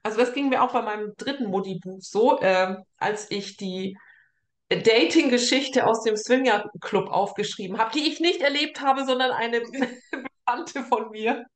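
A woman with a fairly high voice interviews in a casual, chatty way and laughs often. The sound is noticeably echoey, as from a roomy space. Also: measured pace. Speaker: interviewer and fellow author.

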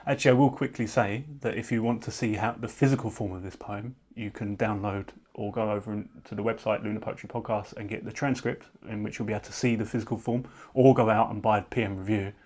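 A man's voice: fairly low pitch, fairly even intonation, fast talker, few pauses, darkish tone. A man hosting a poetry podcast, chatty and rambling, thinking aloud as he asks questions. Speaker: podcast host. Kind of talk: podcast interview.

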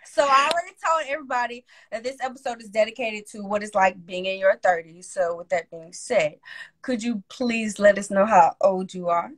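A woman in her twenties hosting a casual chat, talking in a lively way and capping her points with a punchy one-word tag. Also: medium pitch, normal speed, low-quality recording. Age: 20s. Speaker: host.

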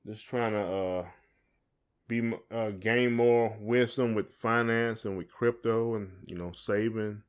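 A man's voice: fairly low pitch, steady tone, measured pace, smooth. A man chatting casually and colloquially as a podcast co-host. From southern United States. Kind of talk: casual chat with spouse.

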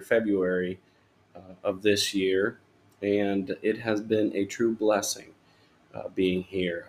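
Man, youth pastor, slow halting delivery, low-ish voice, podcast guest. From Honduras. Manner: halting, many fillers and restarts, chuckling. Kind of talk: casual podcast interview.

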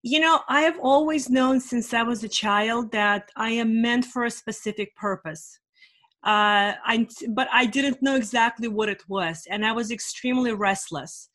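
A woman's voice: fairly high pitch, a little slow, a bit bright. A woman in her forties, originally from Russia, answering interview questions about her career in an earnest, reflective way with many fillers. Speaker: guest, life and business strategist.

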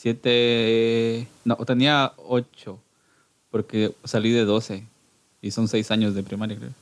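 A man's voice: mid-range voice, flat delivery, takes it slowly, no room sound. A man in his thirties from Guatemala with a Guatemalan Spanish accent, chatting casually as a podcast guest. He laughs often and hesitates and restarts his sentences. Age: 30s. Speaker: podcast guest, band singer. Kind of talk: casual interview chat.

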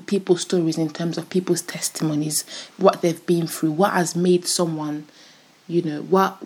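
Young woman host, solo podcast, low husky voice, chatty and giggly. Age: 20s. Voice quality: deeper, rough voice. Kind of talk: casual solo podcast chat.

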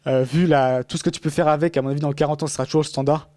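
A man's voice: on the high side, steady tone, racing, mellow, no room sound, studio quality. A man talking casually in a podcast conversation, rambling with many fillers and restarts.

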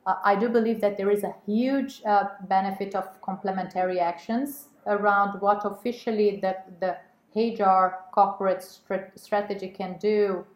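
A woman's voice: mid-range voice, fairly even intonation, normal speed. Woman guest, stops and restarts a lot, echoey room. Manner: hesitant, many fillers and restarts. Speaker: guest, women's network co-chair. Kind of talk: casual interview talk.

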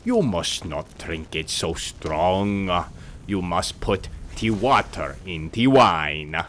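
A man's voice: low voice, lively intonation, takes it slowly, fairly bright tone, a little hiss or hum, pitched below the performer's own voice, exaggerated voice. A man voicing a quarrelsome male spirit with a heavy German accent, speaking broken, bossy English in a storybook reading. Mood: coaxing. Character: gruff insolent male spirit, squat and quarrelsome. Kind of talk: audiobook character voice.

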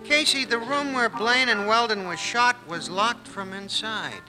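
A man's voice: high-pitched, measured pace, poor audio. An American actor playing a naive, chatty, folksy barman sidekick in a 1940s radio drama. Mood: wondering, chummy. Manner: folksy, wondering. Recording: vintage 1940s radio broadcast with music.

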